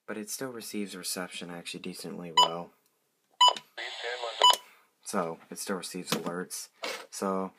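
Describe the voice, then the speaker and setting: A man's voice: low-pitched, heavy background noise. A man casually showing off a collection as a hobbyist, rambling and repeating short phrases of praise. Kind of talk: casual product show-and-tell.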